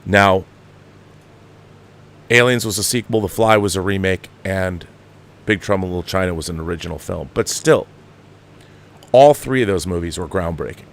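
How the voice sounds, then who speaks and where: An American man in his fifties giving impassioned, off-the-cuff film commentary as a show host, with an emphatic, halting, digressive delivery. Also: sits low, creaky. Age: 50s.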